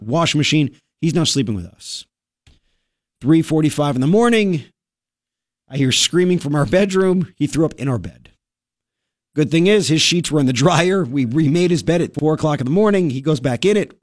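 A male radio host telling a story in casual talk-radio style, animated and full of quick asides. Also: fairly high pitch, dry recording, studio quality.

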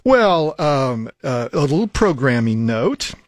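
A man's voice: medium pitch, big pitch swings, takes it slowly, no echo. A man hosting a radio talk show in a studio, easygoing, with many fillers and restarts. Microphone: studio microphone.

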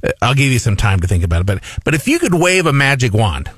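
A man's voice: mid-range voice, sing-song, fast, breathy edge, dry recording, studio quality. A middle-aged man hosting a radio talk show, earnest and rambling, restarting his sentences often. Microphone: studio microphone.

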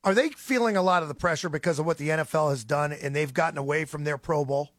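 A man's voice: a little high, quick pace, a bit bright, no echo. A man co-hosting a sports radio show, casually putting questions to a guest from the studio.

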